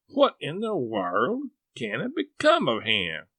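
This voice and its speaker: A man voicing an excitable, fussy older farm woman who gushes and frets, in a gravelly voice. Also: slow, no room sound, heavily acted. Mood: anxious. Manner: breathless, gushing, high-strung.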